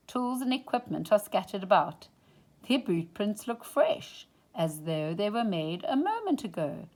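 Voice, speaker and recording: A woman reading a children's picture book aloud in an expressive, dramatic storytime style. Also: on the low side. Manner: dramatic, drops into hushed whispers for suspense. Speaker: storytime reader.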